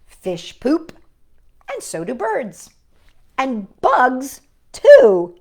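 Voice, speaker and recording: A woman's voice: high voice, huge pitch swings, drawn-out words, darkish tone. A woman hosting a children's storytime, reading aloud and chatting playfully with young listeners, often asking them questions. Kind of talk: children's picture book read-aloud with chatty asides.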